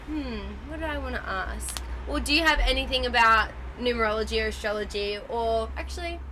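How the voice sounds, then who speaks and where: A woman hosting a casual interview, curious, asking questions and thinking aloud until her voice trails off quietly. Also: a little high, a little fast, noisy background. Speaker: podcast host.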